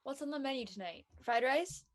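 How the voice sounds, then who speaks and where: A woman's voice: sits high, lively intonation, a little fast. A woman acting a sensible, matter-of-fact young friend in an online drama. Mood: blunt, curious.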